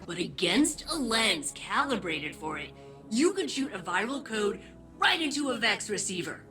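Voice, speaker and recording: An eager woman tech expert from a video game, with a bright, forceful tone. Her pitch is medium and very animated, with faint music behind. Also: normal speed.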